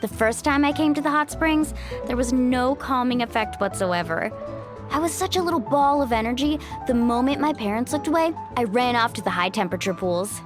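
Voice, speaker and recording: A woman playing a cheerful, outgoing young guide in a video game, with a chatty, playful manner. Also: high voice. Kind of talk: English video game voice acting.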